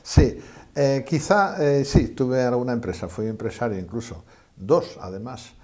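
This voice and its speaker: A retired older man from Asturias answers questions as an interview guest. His voice is dark and mellow. He hesitates and restarts often, and he plays down his own achievements. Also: medium pitch, unhurried. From Asturias, Spain. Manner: modest, hesitant, self-deprecating. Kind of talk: conversational interview.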